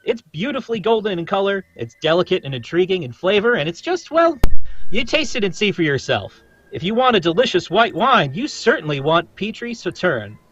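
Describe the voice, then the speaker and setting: A man's voice: high voice, big pitch swings, a little fast, fairly bright tone, dull audio, heavily acted. A man playing a chummy, folksy radio announcer selling products in old-time style. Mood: chummy, persuasive. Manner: folksy, salesmanlike. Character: chummy, eager radio announcer pitching wine. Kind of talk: 1930s-40s radio announcer reading.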